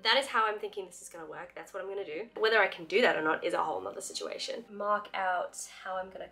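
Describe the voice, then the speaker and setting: Woman, casual craft vlogger, bubbly, chatty, recovering from illness, mid-pitched, faint background music. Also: fast talker, sharp tone, husky. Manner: bubbly, chatty, laughs often. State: still sick with a cough. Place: home, spare craft bedroom.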